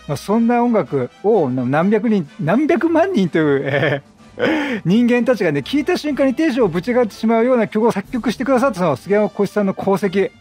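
Chatty man with lively, swinging intonation. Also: high-pitched, fairly quick, slightly rough. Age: 30s or 40s. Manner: chatty, reacts and quips at the characters. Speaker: gameplay commentator. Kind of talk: casual game commentary. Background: game music.

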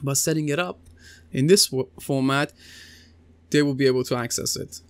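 A man's voice: medium pitch, moderate pace, smooth, dry recording. A man in his thirties giving a recorded computing lesson over slides, talking conversationally with many fillers and restarts. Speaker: computing teacher. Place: small indoor room, seated at desk.

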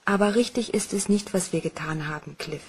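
An actress playing a young, conscience-stricken accomplice, hesitant and anxious, in a crime radio play. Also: female voice, medium pitch, fairly quick, a little hiss or hum, lightly acted. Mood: uneasy.

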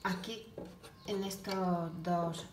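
A woman narrating her own home craft project step by step, talking haltingly as she works in a kitchen. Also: fairly low pitch, steady tone. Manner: halting, talks while working, frequent restarts and soft asides. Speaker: DIY video maker. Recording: echoey room with background noise.

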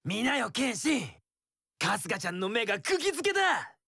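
An actor playing a carefree, romantic young wandering warrior with a tender streak in an action game, theatrical, breezy and poetic. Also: male voice, extremely high pitch, sing-song, a little slow, creaky, sharp tone, slightly rough, dry recording, clean studio sound, heavily acted. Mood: concerned. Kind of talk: Japanese action game voice acting.

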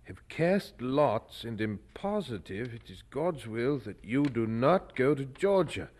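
An actor playing a devout, thoughtful young preacher in a radio drama, speaking formally and earnestly. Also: male voice, mid-range voice, very animated, unhurried, a little mellow, slightly rough. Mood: pondering.

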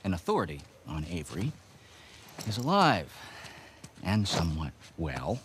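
Smug treasure-hunting game villain, American, low and animated, taunting and sarcastic, outdoor ambience behind. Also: male voice, unhurried, background noise, full character voice. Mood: taunting, gleeful. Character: smug, mocking male villain, rich treasure hunter. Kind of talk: video game cutscene acting.

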